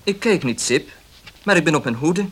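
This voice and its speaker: An actor in a Dutch radio play as a wary baker, speaking directly and guardedly. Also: male voice, on the high side, animated, normal speed, fairly bright tone. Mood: guarded, firm. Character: wary, blunt baker defending his honest father.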